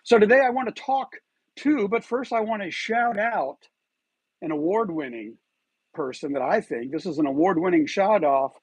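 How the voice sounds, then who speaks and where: A man's voice: high voice, animated, normal speed, breathy edge, dry recording. A man hosting his own online commentary show, talking emphatically and often asking his viewers whether they follow him. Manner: emphatic, rhetorical, keeps asking "you feel me there?". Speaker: YouTube channel host. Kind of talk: scripted commentary monologue.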